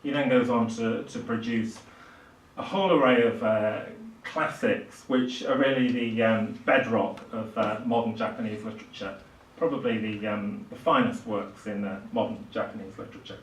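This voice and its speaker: An older man giving a public literature lecture, speaking haltingly with emphatic swells. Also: lowish voice, fairly even intonation, slight background noise. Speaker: lecturer and author introducing a book. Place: small indoor lecture room at a podium. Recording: distant, echoey small lecture room.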